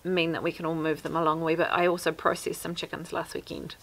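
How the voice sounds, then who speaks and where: Down-to-earth New Zealand homesteader woman, thirties, slightly low and gravelly, practical chat to camera outdoors. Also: flat delivery, fast, a bit bright. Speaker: homesteader vlogger. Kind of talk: casual how-to talk to camera. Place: outdoors by a wooden fence. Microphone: camera at arm's length.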